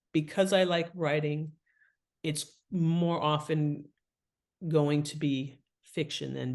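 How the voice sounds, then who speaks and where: A woman talking casually and expressively as an interview guest, slipping into little acted-out asides as she explains. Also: low voice. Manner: chatty, expressive, acts out imagined thoughts and asides. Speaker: podcast guest, fiction author and editor. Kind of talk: casual, animated interview talk.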